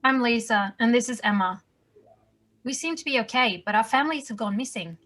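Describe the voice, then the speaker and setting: Woman acting a calm, matter-of-fact survivor. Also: on the high side, a little fast. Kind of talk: table read of a scripted audio drama.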